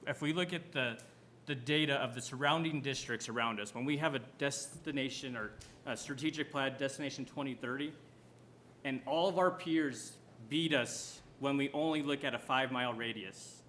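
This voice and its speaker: A man's voice: medium pitch, moderate pace, a bit bright, slight background noise. A man answering as a school board candidate, pressing his case with pointed rhetorical questions. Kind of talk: forum answer, argumentative.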